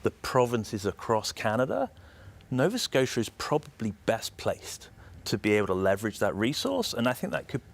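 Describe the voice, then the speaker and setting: A man with a British accent giving measured, explanatory answers as an executive being interviewed. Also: mid-range voice, big pitch swings, gravelly. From United Kingdom. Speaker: energy system operator executive, interview guest.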